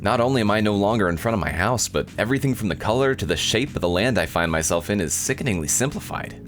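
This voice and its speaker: A man's voice: on the low side, lively intonation, quick pace, a bit bright, faint music behind. A man voicing an angsty, self-pitying teenage schoolboy, the overwrought hero of a visual novel. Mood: bewildered, alarmed. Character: angsty, melodramatic teenage anime schoolboy protagonist. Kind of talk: visual novel read-aloud.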